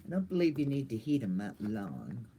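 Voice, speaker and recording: Lively older woman, rambling chat. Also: rumbling low, moderate pace, a little hiss or hum. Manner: rambling, goofy, chatty. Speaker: vlogger. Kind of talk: casual livestream chat.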